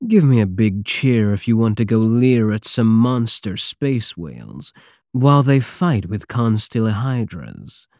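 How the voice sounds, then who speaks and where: Guy doing a dark mellow pirate king for asmr, teasing drawl that swoops around, menacing and smitten. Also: on the low side, expressive, unhurried, no echo, studio quality. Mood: rallying, boastful. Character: swaggering, obsessive space pirate king, flirtatious and menacing. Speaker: audio roleplay voice actor. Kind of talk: intimate ASMR roleplay acting.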